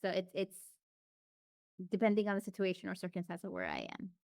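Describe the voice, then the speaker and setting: Smooth, clear-voiced woman chatting. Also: on the low side, huge pitch swings, a little fast, a little mellow, dry recording, studio quality. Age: adult. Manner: chatty, frequent restarts and fillers. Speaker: interview guest. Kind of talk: reflective casual conversation.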